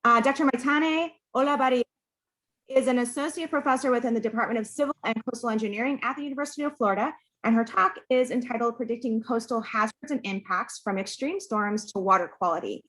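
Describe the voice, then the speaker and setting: Higher-pitched woman hosting. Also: fast talker, a bit bright, rough recording. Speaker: event host introducing speakers. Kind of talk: semi-formal introduction.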